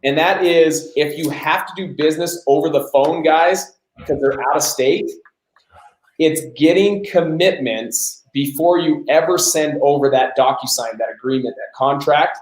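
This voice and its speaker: Energetic male host, echoey room. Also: fairly high pitch, moderate pace. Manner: energetic, emphatic, addresses audience as guys. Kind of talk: live Q&A coaching.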